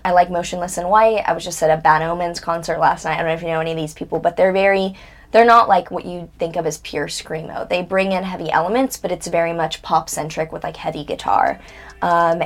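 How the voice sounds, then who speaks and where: A young woman in her twenties speaks as an interview guest in casual conversation. Her voice sits somewhat low for a woman. She talks bluntly and candidly and swears without hesitation. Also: steady tone, brisk. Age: 20s.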